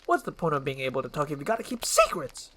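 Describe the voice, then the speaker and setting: A woman's voice: deep voice, huge pitch swings, fast, fairly bright tone, full character voice. A woman voicing a chatty, fretful male donkey sidekick in a fairy-tale roleplay. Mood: fretful, exasperated.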